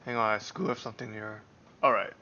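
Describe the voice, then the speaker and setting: A man livestreaming a game with casual, halting commentary, thinking aloud as he plays. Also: on the low side, steady tone, dull audio, slight background noise. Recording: loud game music behind the voice. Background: loud game music.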